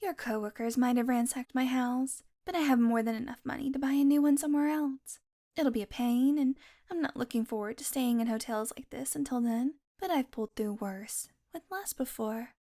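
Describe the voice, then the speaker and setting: A woman's voice: high-pitched, normal speed, mellow. A woman playing a haughty, sardonic female vampire on the run in an audio roleplay, teasing and exasperated as she talks straight to the listener. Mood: matter-of-fact, wry. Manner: sarcastic, teasing, exasperated sighs.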